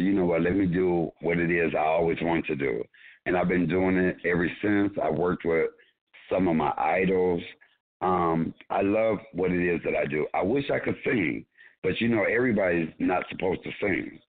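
A man with a deep voice, a comedian guest joking and teasing in a radio chat. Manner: playful, teasing, laughs often. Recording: tinny phone line.

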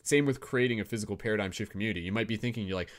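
A man's voice: fairly low pitch, rapid-fire. A man hosting an online round table, upbeat and encouraging. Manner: upbeat, encouraging, repeats "awesome". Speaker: host. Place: online video call.

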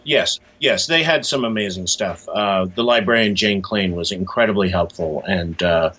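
A middle-aged man speaking as an interview guest, explaining things in a measured, slightly hesitant conversational way. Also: low-pitched, bright, forceful tone. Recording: dull, lo-fi sound.

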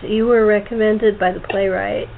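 A woman's voice: mid-range voice, fairly even intonation, moderate pace, gravelly. A woman hosting a casual group chat with cast members, giggly and effusive in manner. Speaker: host and playwright. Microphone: internet call. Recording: tinny phone-like line, noisy.

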